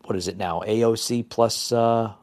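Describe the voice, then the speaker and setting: A fairly low-voiced American man talks off the cuff as a political podcast host. He is opinionated and repeats himself, often halting and restarting as he addresses his listeners directly. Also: fairly even intonation, darkish tone. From New Jersey, United States. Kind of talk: unscripted political commentary.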